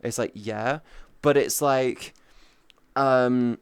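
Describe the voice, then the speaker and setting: Smooth-voiced rambling man in his thirties. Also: medium pitch, fairly even intonation, extremely slow, dry recording. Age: 30s. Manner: rambling, swears freely, greets chatters by name. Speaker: streamer. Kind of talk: casual chat with viewers.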